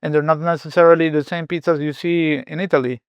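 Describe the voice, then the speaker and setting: A man's voice: fairly high pitch, little pitch movement, quick pace, clean tone, dry recording, highs cut off. A man who grew up in Venezuela, a chef speaking as a podcast guest, thoughtful and full of restarts and fillers. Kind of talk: podcast interview.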